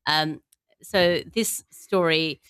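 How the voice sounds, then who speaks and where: A woman with a slightly low voice hosts a satirical comedy podcast. She is wry and articulate, given to laughing, and recorded very dry with no room sound. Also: drawn-out words, a bit bright, clear, resonant tone, dry recording. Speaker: podcast host. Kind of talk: satirical comedy panel banter.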